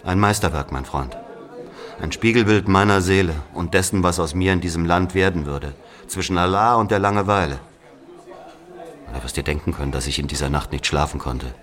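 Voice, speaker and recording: A man's voice: low voice, fairly quick, fairly bright tone. A man playing a brooding Algerian narrator who recounts his life in a literary audio drama. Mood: shaken, brooding. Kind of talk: dramatic first-person narration.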